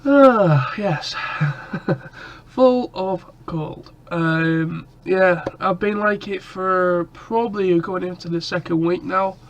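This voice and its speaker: Man with a head cold, rambling vlog chat, high voice, muffled lo-fi sound. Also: takes it slowly. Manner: rambling, lots of ums and restarts. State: stuffy nose and cough from a cold. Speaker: vlogger. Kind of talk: casual chat to camera.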